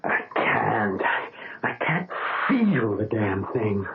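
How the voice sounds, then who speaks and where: Shaky piano player, really deep voice, old tinny radio. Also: male voice, sing-song, vocal fry, slight background noise, exaggerated voice. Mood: anguished. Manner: anguished, halting, stammering. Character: anguished young pianist, hands grafted. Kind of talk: 1970s radio drama acting. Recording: narrow phone-like old radio broadcast.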